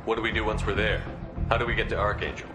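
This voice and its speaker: A cool, tough soldier of fortune voiced by a man speaks in clipped, confident lines at a rapid pace. His voice sits low, with game cutscene music clearly behind it. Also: flat delivery, close to an everyday voice. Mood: businesslike. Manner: terse, confident. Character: cool, tough male space soldier posing as mercenary. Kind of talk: video game cutscene acting.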